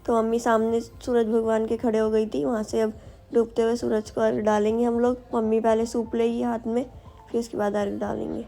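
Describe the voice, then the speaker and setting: A woman's voice: on the high side, little pitch movement, brisk, gravelly, warm tone, soft background music. A young woman with a sore throat, narrating her family's festival rites as a vlog voice-over, explaining each step. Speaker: vlogger.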